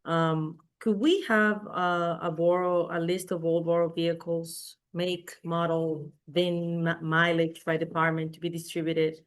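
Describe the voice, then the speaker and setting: Low-voiced woman making a council request. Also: little pitch movement, unhurried, few pauses. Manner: searching for words, hesitant. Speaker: council member. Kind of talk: meeting request.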